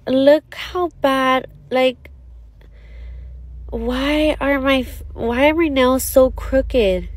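Brief: distressed woman, high pitch, slow pace. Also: dry recording. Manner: upset, slow and pleading. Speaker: customer showing her nails. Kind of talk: complaint video.

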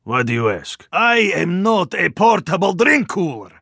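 A hulking, ice-cold Russian super-soldier for a video game. His voice has a gravelly edge and swings widely in pitch. He speaks in a formal, earnest, stoic way with a Russian accent, recorded very dry and close. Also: very animated, bright, forceful tone, no room sound, full character voice. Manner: formal, deliberate, literal-minded phrasing with dropped articles. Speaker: game character voice actor.

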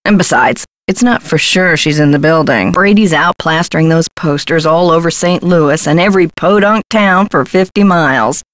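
Actress as a slick pushy hollywood agent lady, lowish voice, glib and condescending, video game character, dry sound. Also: normal speed, few pauses, no echo, close to an everyday voice. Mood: slick, self-assured. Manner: slangy, glib, condescending pet names. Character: brash, scheming female Hollywood talent agent, slick and dismissive. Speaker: talent agent.

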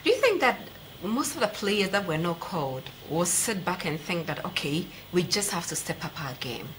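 A woman's voice: fairly low pitch, sing-song, moderate pace, slight background noise, rough recording. A young woman with a Ghanaian accent hosting a TV sports interview, asking probing questions conversationally. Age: young adult. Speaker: sports show host, interviewer. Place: TV studio, seated at a desk.

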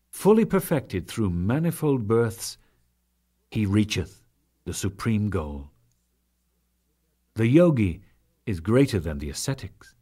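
A man narrating sacred scripture as a dramatic reading, solemn and declamatory, in measured phrases with deliberate pauses. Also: medium pitch, a little mellow. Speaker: audiobook narrator.

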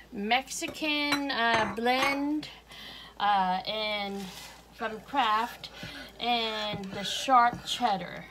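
Woman, relaxed home cooking vlog, unhurried, homey, kitchen noises and clicks in the background. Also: a little high, noisy background. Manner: homey, unhurried, chuckles often. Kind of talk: casual cooking demonstration. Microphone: fixed camera at counter, speaker moving around.

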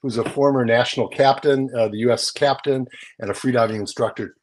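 A man's voice: mid-range voice, fairly even intonation, a little fast. An older man talking through news items in a casual, explanatory way on a video call from a room at home, often tagging on little questions. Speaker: magazine editor and guest presenter. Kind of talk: casual news rundown in conversation. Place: small home room with bookshelves, indoors. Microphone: video call, close to the screen.

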